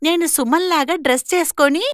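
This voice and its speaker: A woman's voice: high voice, fast, no room sound, studio quality, natural voice. A woman voicing a bold young woman in a moral story cartoon, scolding and assertive as she stands up to her in-laws. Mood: firm, explaining.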